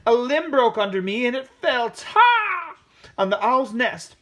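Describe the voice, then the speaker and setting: A man puts on a nervous monkey from an animal tale. The voice is high and excitable, leaping in pitch as it makes excuses. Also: measured pace, exaggerated voice. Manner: hesitant, then excitable. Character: nervous monkey blaming others for an accident.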